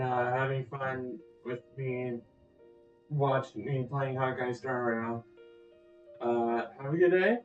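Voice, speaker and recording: A woman giving casual, laid-back gameplay commentary as a streamer. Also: very deep voice. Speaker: game streamer. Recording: echoey, dull microphone over game music.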